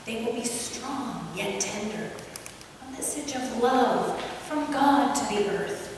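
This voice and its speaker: A woman voicing a wise, gentle, ancient female turtle in a slow, solemn storybook manner. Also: a little high, expressive. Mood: tender, solemn. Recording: echoey hall, distant mic, background noise.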